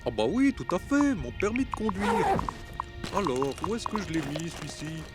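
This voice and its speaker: An actor playing a confident, chatty, scatterbrained spaceship pilot in a French sci-fi audio comedy, talking casually and muttering to himself. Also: male voice, fairly high pitch, very animated, moderate pace, loud music behind the voice. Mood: flustered, polite.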